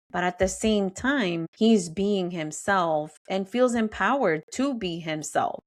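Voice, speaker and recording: A middle-aged woman hosting a podcast, talking straight to her listeners in an emphatic, conversational way. Her voice sits on the low side with a gravelly fry running through it, and she often stops to ask the audience questions. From Mexico. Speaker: podcast host. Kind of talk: casual monologue.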